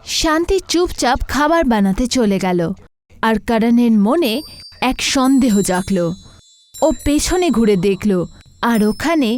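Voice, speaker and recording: A woman's voice: on the high side, lively intonation, slow pace, a little mellow. A woman narrating a scripted horror story.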